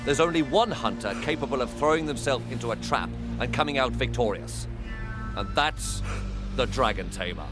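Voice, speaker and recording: An actor playing a dutiful, serious guardian hunter in a game cutscene, measured in manner. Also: male voice, mid-range voice, expressive, music in the background, natural voice. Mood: resolute. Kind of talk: video game cutscene acting.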